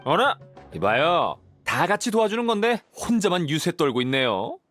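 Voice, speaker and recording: A man's voice: fairly high pitch, sing-song, normal speed, a bit bright, faint music behind. An actor playing a playful, cheeky young man in a video game, teasing and breezy in manner. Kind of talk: video game dialogue acting.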